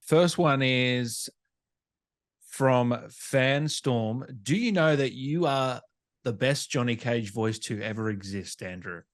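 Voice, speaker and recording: A man hosting a casual interview, friendly and agreeable in the way he talks. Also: medium pitch. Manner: friendly, agreeable, quick to laugh. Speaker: interviewer, host.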